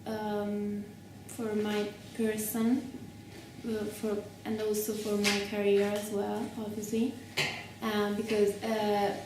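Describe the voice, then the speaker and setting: A woman from Italy, answering interview questions haltingly with many fillers. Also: mid-range voice, mellow, slight background noise. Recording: very echoey room, mic far away.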